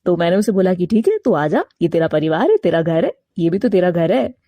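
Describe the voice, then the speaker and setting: A woman's voice: on the low side, sing-song, fairly quick, mellow, voice pushed down. Woman voicing a carefree, defiant young crow husband. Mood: cheerful, casual. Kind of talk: Hindi animated moral story character.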